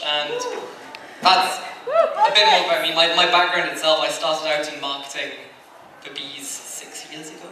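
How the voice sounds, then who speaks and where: A man giving an informal, self-deprecating, rambling talk as a guest on a convention panel. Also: a little high. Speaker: anime acquisitions head, panel guest. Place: large convention panel room. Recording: roomy echo, distant mic, background noise.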